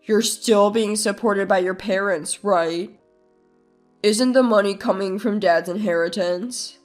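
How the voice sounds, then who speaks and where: A woman's voice: mid-range voice, measured pace, soft background music, pitched below the performer's own voice, close to an everyday voice. A woman putting on a smug, sneering brother. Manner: mocking, dismissive. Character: smug scornful adult brother, a man.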